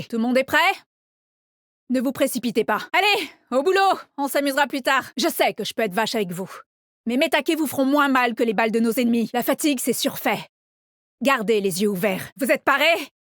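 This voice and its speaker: A woman's voice: a little high, dry recording, studio quality. An actress playing a cocky, teasing female squad leader in a video game, with a confident, brash and punchy delivery. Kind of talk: video game character voice lines.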